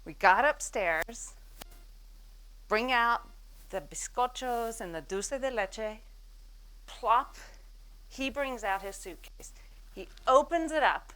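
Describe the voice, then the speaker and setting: A woman's voice: on the high side, lively intonation, takes it slowly, long pauses, a bit bright, low-quality recording. A woman in her forties giving a business talk on stage, telling her story with self-mocking humor and acting out the people she quotes. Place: event stage before an audience.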